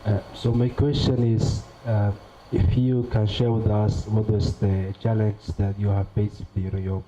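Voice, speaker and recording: A man in the audience asks a courteous, formal question. His voice is on the low side. The sound is dull and muffled, and a clear noise runs underneath. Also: little pitch movement, a little slow, warm tone. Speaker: audience member asking a question.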